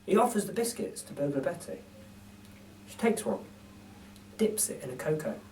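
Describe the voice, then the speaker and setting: A man narrating a children's story as an expressive storyteller. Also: on the high side, moderate pace, a little hiss or hum. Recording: distant microphone.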